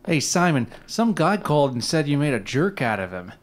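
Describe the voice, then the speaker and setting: A man's voice: on the high side, sing-song, normal speed, slight background noise. An actor playing a casual, irritated guy in a radio drama, brusque and slangy. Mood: irritated, puzzled.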